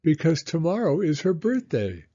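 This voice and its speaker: A man's voice: a little high, sing-song, moderate pace, dark tone, slightly rough, highs cut off, pitched above the performer's own voice. A man voicing an earnest, loving young boy turtle who asks questions in a children's storybook.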